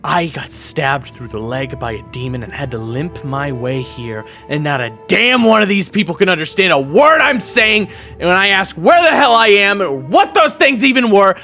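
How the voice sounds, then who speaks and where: A man's voice: high voice, animated, normal speed, few pauses, soft background music, heavily acted. A man playing a furious, swearing brother. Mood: bitter. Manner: shouting, swearing, sarcastic. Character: bitter, furious young man, the narrator's brother. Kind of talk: audio roleplay acting.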